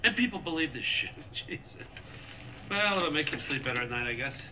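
A man's voice: on the high side, expressive, a little fast, noisy background, natural voice. A man playing a shady, middle-aged American government agent in a video game, glib, cynical and casually menacing. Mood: sardonic. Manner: glib, sardonic, patronizing. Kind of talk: video game cutscene acting.

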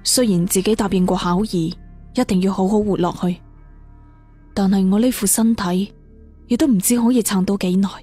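Weary young hero of an adventure storybook, played by a male narrator: soft-toned, brooding, quietly resolute. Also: sits high, steady tone, normal speed, husky, soft background music, voice pushed up. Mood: brooding, hesitant.

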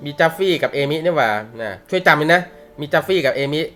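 A man's voice: on the high side, animated, fast talker, a bit bright. Chatty, laughing male manga teacher. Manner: chatty, laughs often, uses slang and swears. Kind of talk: casual live class talk. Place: classroom or online class.

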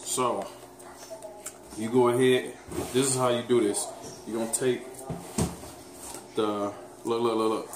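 A man's voice: mid-range voice, fairly even intonation, measured pace, long pauses. A man in his thirties casually showing how to cook dinner, teasing and talking straight to viewers. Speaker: fitness vlogger cooking with his daughter. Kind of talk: casual home cooking demo. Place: home kitchen, indoors. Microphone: camera mic on the counter. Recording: echoey kitchen with loud background noise.

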